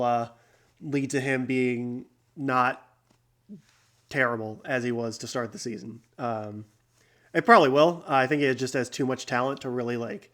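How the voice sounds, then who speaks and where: A man's voice: mid-range voice, a bit bright. A young man hosting a casual sports recap, talking with a stuffy nose from a cold, rambling with lots of fillers and restarts. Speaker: podcast host.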